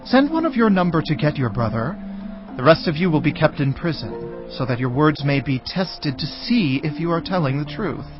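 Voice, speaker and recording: An actor playing a harsh, accusing governor of ancient Egypt in a dramatized audio Bible, speaking deliberately. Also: male voice, on the high side, sing-song. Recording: dull audio with music.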